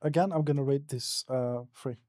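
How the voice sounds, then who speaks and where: A man talks as a podcast guest, his voice dark and mellow. He hesitates often, filling gaps with "uh" and "you know" and restarting phrases, and the recording is dry and close. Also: mid-range voice, moderate pace, dry recording. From Hungary. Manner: hesitant, many fillers and restarts. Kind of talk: casual interview answers.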